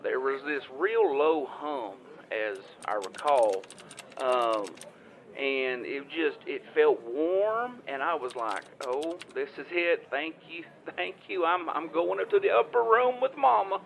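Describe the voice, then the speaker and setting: A man's voice: on the high side, lively intonation, vocal fry, fairly bright tone, heavily acted. A man playing a devout, flustered farmer with a Southern US accent, stammering and rambling through a phone call in an improvised comedic audio drama. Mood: awed, emotional. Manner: rambling, stammering, halting, folksy. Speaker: caller.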